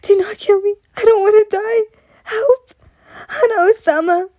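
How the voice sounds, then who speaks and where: A terrified young servant girl, acted out by a woman storyteller, with a very high, loud voice. She pleads deferentially, begging for her life in a dramatic audio story. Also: a little slow, dry recording, dull audio. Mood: panicked begging.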